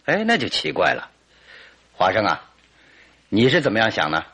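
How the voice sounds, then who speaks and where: An actor playing a shrewd, authoritative detective in a radio drama, deliberate and probing. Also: male voice, on the low side, wildly swooping pitch, sharp tone, husky, heavily acted.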